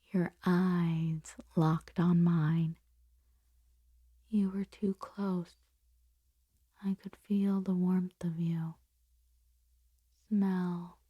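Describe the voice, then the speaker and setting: Woman performing a conflicted lady boss, forbidden longing, ASMR roleplay, breathy whisper, low-pitched, close dry sound. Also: dry recording, dull audio. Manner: slow, intimate, halting delivery. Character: conflicted female boss confessing forbidden desire to her employee. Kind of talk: ASMR romantic roleplay monologue.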